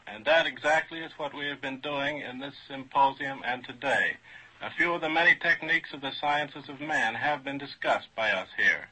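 A man's voice: mid-range voice, pushed and bright, breathy edge, poor audio. A man speaking formally and earnestly as an academic on a 1940s radio panel.

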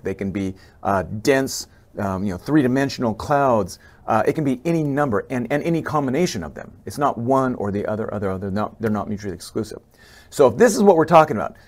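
Conversational middle-aged man explaining. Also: medium pitch. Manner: conversational, many fillers and restarts. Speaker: eye doctor. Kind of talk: explaining a slide lecture. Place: indoor office, webcam inset over slides.

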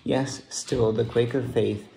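A man solemnly reciting historical verse as a student narrator, in a measured manner. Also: mid-range voice, fairly even intonation, gravelly, mellow, poor audio.

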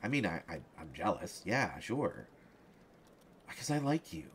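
A man playing a flustered, lovestruck young journalist in an audio roleplay, speaking in halting, hesitant stammers. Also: low-pitched, very animated, fairly bright tone, a little hiss or hum, full character voice. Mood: earnest, jealous. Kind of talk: one-sided audio roleplay acting.

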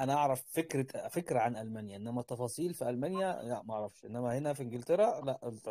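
A man's voice: medium pitch, mellow, dry recording, studio quality. A man with an Egyptian Arabic accent giving casual advice on a group voice call, rambling and repeating his words. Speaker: host, doctor advising junior colleagues. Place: online voice call.

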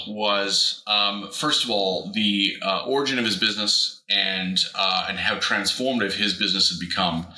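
A middle-aged man hosting a conversational interview, speaking in a halting, deliberate way. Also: deep voice, bright, forceful tone. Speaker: interview host. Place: indoor room, on a video call. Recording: roomy echo on video call.

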